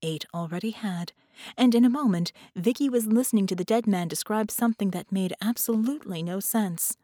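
A woman's voice: mid-range voice, fairly quick, mellow, no room sound, clean studio sound. A woman reading scripted fiction as an audiobook narrator.

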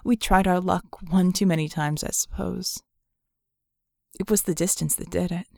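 A woman voicing a cynical, haunted male metahuman ex-thief in an audiobook, telling his past in a wry, measured way. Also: lowish voice, fairly even intonation, gravelly, mellow, no room sound, studio quality. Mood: grim, haunted.

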